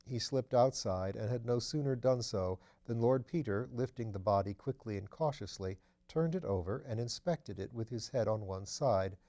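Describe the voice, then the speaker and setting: A man reading a novel aloud as scripted literary narration, with measured, careful phrasing. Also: on the low side, mellow. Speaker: audiobook reader.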